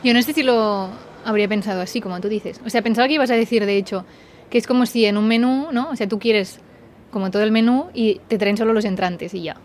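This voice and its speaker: Woman guest on a podcast, fast chatty bursts, faint hum behind her. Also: mid-range voice. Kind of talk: casual chat.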